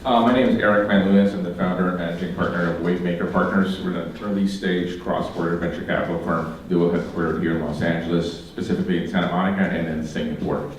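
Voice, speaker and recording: A man with a very deep voice who speaks in a measured way on a conference panel and repeats words for emphasis. He is heard from across a large, reverberant hall. Faint noise sits underneath. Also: steady tone. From Philippines. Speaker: panelist, venture capital founder. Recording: echoey hall, distant mic.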